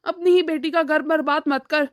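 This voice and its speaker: A woman voicing a weary, moralizing middle-aged father who lectures his family in an animated drama. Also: sits high, normal speed, no echo, clean studio sound, natural voice. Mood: earnest, admonishing. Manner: admonishing, lecturing.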